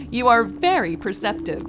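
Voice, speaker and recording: Regal pony princess and patient mentor voiced by a woman, stately yet very lively intonation, music behind. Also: sits high, exaggerated voice. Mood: amused. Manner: stately, explanatory. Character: regal pony princess, kindly amused teacher. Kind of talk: dramatic fan fiction audio reading.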